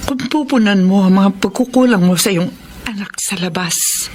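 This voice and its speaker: A woman's voice: mid-range voice, moderate pace, darkish tone, a little hiss or hum, lightly acted. A woman playing a middle-aged mother and wife, firm and forgiving, in a Filipino radio drama. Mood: earnest, forgiving.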